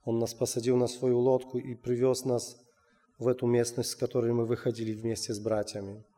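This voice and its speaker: Halting Russian-speaking man in a hall. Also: mid-range voice, monotone, warm tone. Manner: halting, many restarts and fillers. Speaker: missionary telling a testimony. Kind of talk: personal testimony. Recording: long hall reverb.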